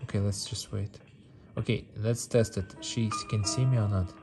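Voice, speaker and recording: A man's voice: on the low side, fairly even intonation, normal speed. A man giving casual gameplay commentary, playful and repetitive, with non-native English phrasing. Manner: repeats words and phrases, playful. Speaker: mobile game streamer. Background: game music.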